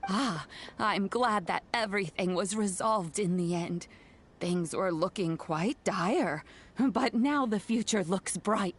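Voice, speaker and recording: Slow-talking, kindly old granny, acted by a woman. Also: medium pitch, a little hiss or hum, lightly acted. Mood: reassuring. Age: older. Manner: gentle, reassuring, measured. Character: kindly, proud, doting old granny who raised an oni. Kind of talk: video game voice acting.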